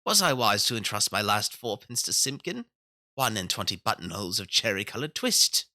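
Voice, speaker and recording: A man's voice: on the low side, big pitch swings, normal speed, pushed and bright, no room sound, studio quality, heavily acted. A man voicing a poor, worried old male tailor who frets and mutters to himself in a storybook. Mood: puzzled muttering. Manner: anxious muttering lament.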